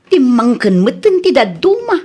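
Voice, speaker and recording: A woman acts out an outspoken village woman with a rather high voice. Her speech has an Ilocano accent, and the delivery is that of radio comedy drama. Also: sing-song, measured pace, warm tone, husky, exaggerated voice. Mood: cautioning.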